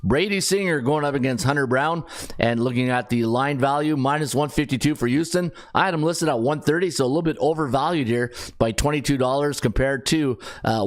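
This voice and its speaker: Raspy-voiced man talking betting odds. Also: mid-range voice. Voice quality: chatty, rambling with fillers and self-corrections. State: raspy, strained voice. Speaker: sports betting podcast host. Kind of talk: casual sports betting rundown.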